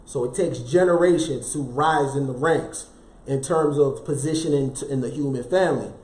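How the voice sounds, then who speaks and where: A man's voice: mid-range voice, normal speed, darkish tone, echoey room. A man giving a motivational self-help talk, preaching in rhetorical questions, repeating key phrases and dropping casual slang asides to his viewers. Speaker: motivational speaker.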